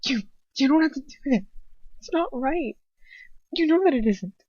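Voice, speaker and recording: A woman's voice: high voice, animated, normal speed, a little mellow, no room sound, voice pushed up. A woman voicing a young female captive who pleads desperately and earnestly in a tremulous voice, in a story reading. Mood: desperate appeal. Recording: dull lo-fi home recording.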